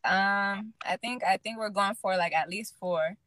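A woman's voice: medium pitch, measured pace, a little hiss or hum. Young woman boxer, casual and chatty. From Illinois, USA. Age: young adult. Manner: relaxed, fills speech with "like". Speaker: guest, pro boxer. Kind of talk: casual interview chat.

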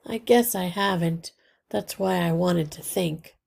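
A woman voicing a thoughtful, sickly young mouse boy in a children's storybook, earnest and calm. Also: lowish voice, vocal fry, breathy edge, heavily acted. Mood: serious, calm. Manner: earnest, measured explaining. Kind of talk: children's storybook read-aloud.

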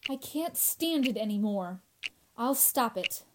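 A woman's voice: a little high, lively intonation, slow pace. A woman playing a grief-stricken, fretful young roommate in a horror radio drama, pleading and plaintive. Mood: weary, fretful.

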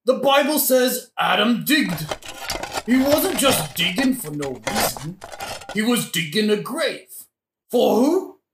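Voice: medium pitch, very animated. A performer playing a drunken, cheeky gravedigger who philosophizes and argues with mock logic in a modern comic audio drama. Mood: wry. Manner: argumentative, mock-logical, joking, sometimes singing slowly. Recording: roomy echo, faint noise.

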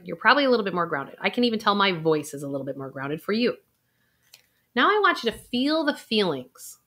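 A woman coaching viewers through a guided intuition exercise, with soft sighs and a calm, unhurried delivery. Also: medium pitch. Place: indoors, recording a video.

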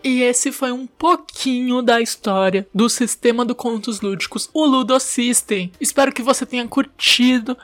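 Slightly high-voiced Brazilian woman hosting. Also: moderate pace, few pauses, soft background music. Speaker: podcast host. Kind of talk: podcast outro announcements.

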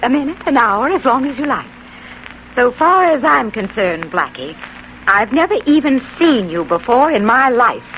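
High-voiced, evasive widow. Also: female voice, very animated, moderate pace. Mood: defiant. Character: evasive, coolly defiant young female widow. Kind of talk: 1940s radio acting. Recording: faintly noisy old-time radio recording.

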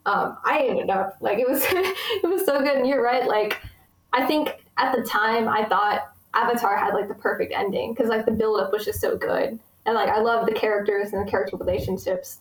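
A young American woman chats with enthusiasm, her voice sitting a little high. Her speech is full of fillers and quick asides. The sound rings with room echo. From United States. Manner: chatty, many fillers, enthusiastic. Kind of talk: casual conversation.

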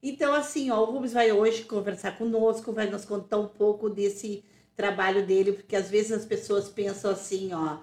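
A woman's voice: mid-range voice, steady tone, moderate pace, hardly any pauses, a little mellow. A woman hosting a podcast interview, prompting her guest with encouraging questions. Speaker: podcast host. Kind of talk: conversational interview. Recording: echoey room.

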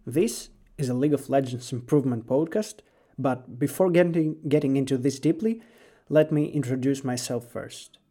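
A young man with a Greek accent speaks in a mellow, warm tone. He talks earnestly and sounds slightly nervous as he hosts his own podcast alone. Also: mid-range voice, moderate pace. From Greece. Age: 20s. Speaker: podcast host. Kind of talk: solo podcast monologue.

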